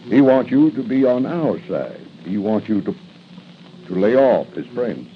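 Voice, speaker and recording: A man's voice: lowish voice, very animated, measured pace. An actor playing a menacing, mocking gangster henchman in 1940s radio style, with a sneering, taunting delivery. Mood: threatening. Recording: muffled 1940s radio broadcast, faint noise.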